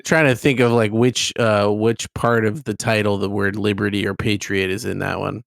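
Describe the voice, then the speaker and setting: A man's voice: lowish voice, level tone, normal speed, gravelly, dry recording, studio quality. A man co-hosting a podcast, talking casually about video games. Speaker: podcast co-host.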